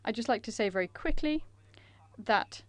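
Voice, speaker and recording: A woman interpreting a conference presentation in a measured way, with frequent filler pauses. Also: fairly high pitch, animated, no room sound.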